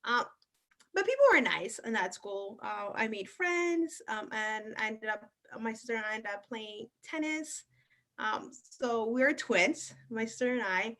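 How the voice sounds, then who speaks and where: A young adult woman from Ethiopia, a show guest telling her life story in a casual, unhurried way, with many ums and uhs. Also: a little high, lively intonation, a bit bright.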